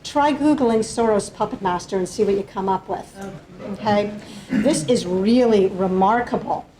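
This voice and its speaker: A woman's voice: mid-range voice, normal speed, warm tone, low-quality recording. A woman speaking as a panelist, giving an academic lecture with slides in an explanatory way, often checking in with the audience.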